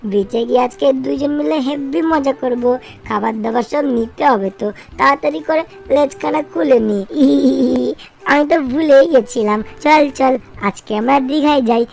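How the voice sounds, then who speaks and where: Woman acting male cartoon cat, high creaky voice, West Bengali accent, vengeful yet playful, chatty, repeated exclamations. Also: normal speed, darkish tone. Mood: cheerful, eager. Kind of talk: comic cartoon dub.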